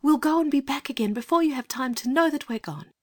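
A woman playing a gentle, caring young girl in a dramatised storybook reading, softly coaxing and often half-whispering. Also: sits high, fast, no echo, studio quality, close to an everyday voice.

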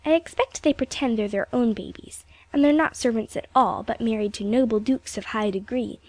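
Woman doing a high, prim British little girl. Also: normal speed, darkish tone, no echo. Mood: dreamy, chatty. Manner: polite, girlish, prim. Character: polite, dreamy, chatty little English girl.

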